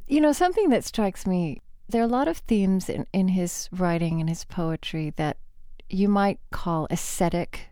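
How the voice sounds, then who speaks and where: A woman hosting an interview, reading a scripted introduction and then asking measured, thoughtful questions. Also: lowish voice, lively intonation, warm tone, no room sound, clean studio sound. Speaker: radio host.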